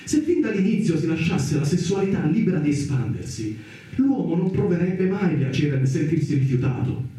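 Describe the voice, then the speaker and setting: A man's voice: a little high, animated, moderate pace, mellow, a little hiss or hum, close to an everyday voice. An actor playing an idealistic, impassioned male doctor in a theatrical radio drama, arguing in an earnest, rhetorical way. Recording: echoey, distant, roomy sound.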